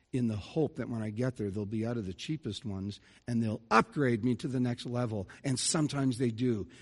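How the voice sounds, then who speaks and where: A middle-aged man, a pastor delivering an earnest, conversational sermon with folksy asides. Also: fairly high pitch. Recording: reverberant church hall.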